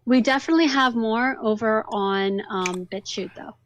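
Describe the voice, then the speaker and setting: A woman's voice: fairly high pitch, normal speed, slight background noise. A woman co-hosting a casual podcast, chatty and giggly, whose voice is going from a long day. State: losing her voice. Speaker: podcast co-host. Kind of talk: casual chat.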